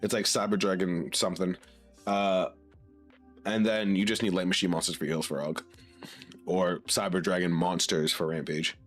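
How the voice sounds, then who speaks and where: Congested, sick male streamer, low voice. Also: level tone, moderate pace, fairly bright tone, faint music behind. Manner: laid-back, swears casually. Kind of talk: casual livestream chat.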